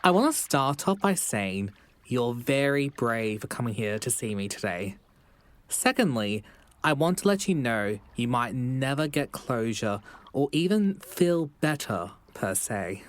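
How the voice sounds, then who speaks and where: A man voicing a calm frog therapist in an audio drama, speaking in measured, reassuring, clinical phrases. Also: medium pitch. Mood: measured, frank.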